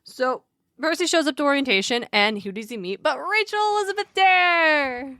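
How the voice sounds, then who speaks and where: A woman's voice: high voice, expressive, normal speed, sharp tone, no room sound, clean studio sound. A woman hosting a podcast in casual, chatty banter, with an informal, wry way of talking. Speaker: podcast host.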